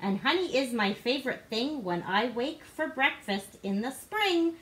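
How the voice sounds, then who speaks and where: A woman's voice: sits high, lively intonation, a little slow, a bit bright, poor audio. A woman reading rhyming storybook text aloud for young children, playful and theatrical in her delivery. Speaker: guest co-reader. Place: living room indoors. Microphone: device camera a short distance away.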